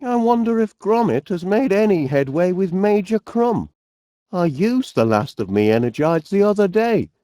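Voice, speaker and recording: Northern english cartoon inventor bloke, really bouncy intonation, bumbling n polite, dry close sound, bit of a fusspot. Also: male voice, high-pitched, sing-song, normal speed, warm tone, no room sound. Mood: musing, puzzled. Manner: bumbling, polite, musing aloud. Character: cheerful, fussy, absent-minded middle-aged male inventor. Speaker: video game voice actor. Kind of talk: animated comedy game acting.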